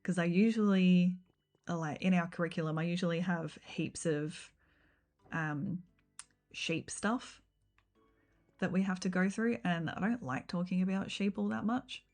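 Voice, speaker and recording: Croaky, low-pitched woman streamer. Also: fairly even intonation, measured pace, darkish tone, soft background music. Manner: easygoing, meandering, with frequent ums and likes. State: slightly rough, croaky voice. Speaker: game streamer. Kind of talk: casual livestream chat while building in a game.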